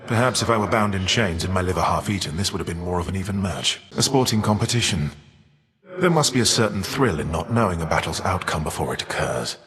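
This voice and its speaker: Embittered titan rebel, lowish voice, formal measured diction, proud, video game character, long reverb, crowd noise behind. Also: male voice, animated. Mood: confident, taunting.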